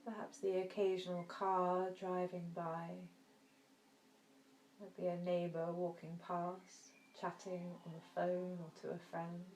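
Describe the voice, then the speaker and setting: A woman teaching yoga nidra, guiding a relaxation meditation in a soft, soothing, gentle manner with long pauses. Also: lowish voice, little pitch movement. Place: indoor room. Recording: roomy echo, faint background noise.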